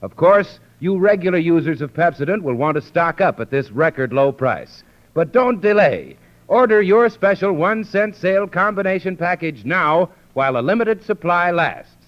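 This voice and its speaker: A man announcing a scripted 1930s radio commercial as a polished sales pitch. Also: very animated, normal speed. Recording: dull 1930s radio recording.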